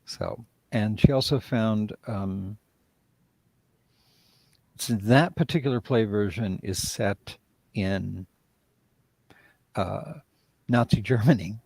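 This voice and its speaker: A man's voice: on the low side, slow pace, creaky, pauses often, a little mellow, slightly rough, no room sound. A man, a writer and director, speaking reflectively in a live-stream interview and often trailing off mid-thought.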